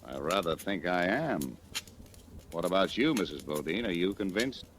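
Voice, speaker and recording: An actor playing a dry, skeptical police lieutenant in a 1940s American radio drama. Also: male voice, low voice, animated, moderate pace. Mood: dryly amused. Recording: noisy old 1940s radio recording.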